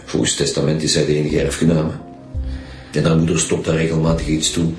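A calm Flemish detective with a very deep voice, in a radio crime drama. He probes politely and patiently, heard in an echoey room with music behind. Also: fast. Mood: probing. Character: calm male police detective questioning a witness.

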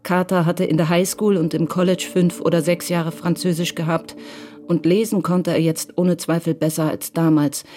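A woman reading scripted literary narration for a radio crime drama in a measured, matter-of-fact way. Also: on the low side, flat delivery, dark tone.

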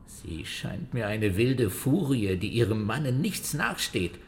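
A man's voice: medium pitch, very animated, slow pace, a little hiss or hum. An actor playing an obsequious, aggrieved bailiff in a historical radio drama, fawning and defensively complaining to his lord. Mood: indignant. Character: obsequious, aggrieved male bailiff and tax collector.

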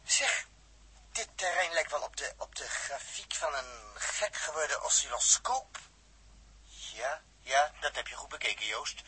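An actor playing a blunt, wry spaceman in a 1960s radio drama, with a raspy voice and colloquial delivery. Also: fairly high pitch, animated, a little slow, sharp tone, a little hiss or hum, heavily acted, poor audio.

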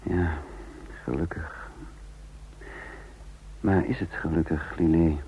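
An actor playing a brooding, scheming, sardonic intelligence chief with a musing, intimate radio drama delivery. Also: male voice, sits low, steady tone, slow, husky, full character voice. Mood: intimate, teasing. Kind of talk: mid-century European radio drama acting. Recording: dull, lo-fi old radio recording.

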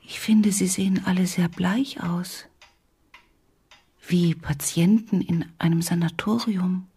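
An actress in a radio drama playing a pharmacist's sickly wife, breathy and listless, asking wary questions. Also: female voice, fairly low pitch, fairly even intonation, slow, dark tone, full character voice. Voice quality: breathy, whispery. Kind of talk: literary radio drama acting.

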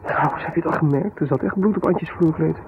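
An actor playing a weary, sardonic artist husband in a 1970s radio drama, grumbling and bitter, with a husky voice. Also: male voice, a little high, quick pace, slight background noise, lightly acted. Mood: brooding, bitter. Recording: thin phone-like vintage radio sound.

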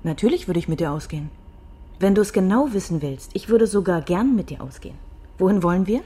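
Teasing wry woman, dark husky voice, dry, radio crime drama. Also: lowish voice, lively intonation, quick pace. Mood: sceptical, guarded. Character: wry, teasing woman, guarded but fond.

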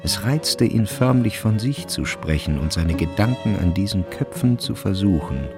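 An actor as the wry literary narrator of a radio play, delivering scripted narration. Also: male voice, on the low side, expressive, normal speed, music in the background. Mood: wry, narrating.

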